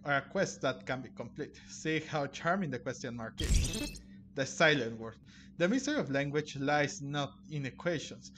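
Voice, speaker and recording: A man streaming a video game, reading its text aloud with a halting delivery and reacting as he goes. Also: a little high, a bit bright, a little hiss or hum. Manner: halting, stumbling read-aloud. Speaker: gaming streamer. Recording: game music behind the voice. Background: video game music.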